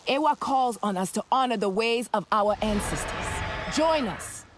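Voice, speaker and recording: A woman's voice: on the high side, expressive, moderate pace, music in the background, close to an everyday voice. An actress playing a solemn female clan leader in a video game, speaking ceremonially. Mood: reverent, inviting.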